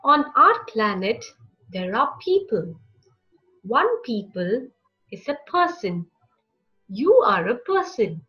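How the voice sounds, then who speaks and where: A woman narrating a picture book for young children, with deliberate, expressive phrasing and short pauses. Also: fairly high pitch, dull audio. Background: music.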